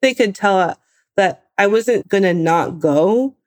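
A woman in her twenties from California, telling her own story in a lively, animated way. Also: medium pitch, takes it slowly. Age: 20s. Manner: lively, animated retelling with laughs. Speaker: guest. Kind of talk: personal storytelling.

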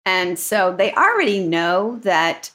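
A woman's voice: medium pitch, very animated, slow, fairly bright tone. A woman co-hosting a leadership podcast in an upbeat, conversational way, often checking in with "right?". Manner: upbeat, asks questions, frequent "right?" tags. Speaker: podcast co-host.

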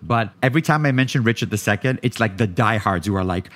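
A man's voice: fairly low pitch, expressive, fast talker, fairly bright tone. A man who directs theater, chatting casually in an interview about his work.

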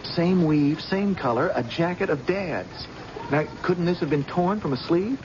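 A man's voice: on the high side, animated, unhurried. An actor playing a determined, eager young policeman, earnest and insistent, in a 1970s radio drama. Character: eager, determined junior policeman, the victim's son. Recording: muffled, noisy old radio recording.

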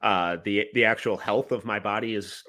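Man with a head cold, podcast host, low-ish voice, halting and stammering. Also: fairly even intonation, sharp tone. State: has a cold, sniffly. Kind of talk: casual sports podcast chat.

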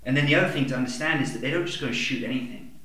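A man's voice: mid-range voice, racing, pushed and bright, far from the mic. A man from Kenya chatting as a podcast guest, telling stories in a laid-back way. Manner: laid-back, anecdotal, says "yeah" a lot. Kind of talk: casual chat, storytelling. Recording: echoey room, off-mic.

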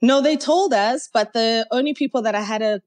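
A woman rapper from Zimbabwe, a guest telling stories in a chatty, animated way in a casual interview. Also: on the high side, moderate pace.

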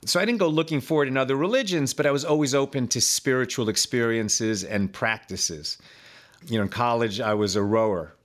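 A man's voice: mid-range voice, brisk. A man in his fifties from Chile, a podcast guest telling his life story in a loose, conversational way, full of fillers and repeated words. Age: 50s.

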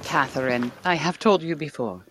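A woman playing a guarded, secretive senior scientist in an audio drama, measured and formal. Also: low-pitched, slight background noise, natural voice.

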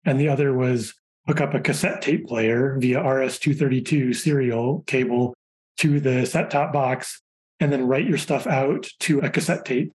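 Man, forties, podcast guest, engineer, relaxed storyteller, chatty. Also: medium pitch, normal speed. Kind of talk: casual conversational interview.

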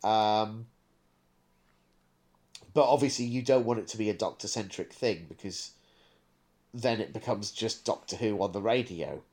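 A man's voice: fairly low pitch, a little slow, long pauses, darkish tone. Man, discursive podcast co-host. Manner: discursive, thinking aloud. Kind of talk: casual podcast chat.

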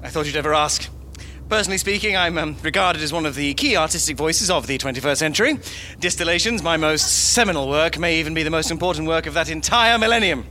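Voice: medium pitch, quick pace, pushed and bright, close to an everyday voice. An actor playing a pompous, self-important male writer who boasts and stammers in a live comedy audio drama. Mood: boastful. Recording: noisy live stage recording.

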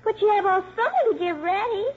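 Very high-voiced little girl, old radio. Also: lively intonation, a little fast. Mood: hopeful, coaxing. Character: young girl, coach's plucky, devoted daughter. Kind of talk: 1950s radio drama acting. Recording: muffled 1950s radio broadcast.

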